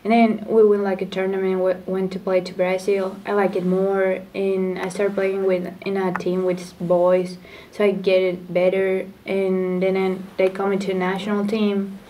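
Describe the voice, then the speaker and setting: A young woman from Chile, her first language Spanish, picks her way through English in short, halting phrases. Her pitch stays flat and even. She sits back from the microphone. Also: far from the mic, a little hiss or hum, low-quality recording. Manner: halting, searching for English words. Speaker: soccer player guest. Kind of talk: casual interview chat. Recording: voice from off the mic.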